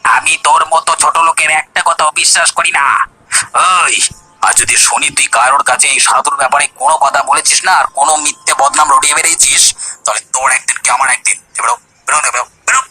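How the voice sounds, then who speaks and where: A man playing a scornful, bullying village man who threatens and scolds in an animated story. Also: fairly high pitch, fast talker, slight background noise, poor audio. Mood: angry, scornful. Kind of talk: Bengali animated story dialogue.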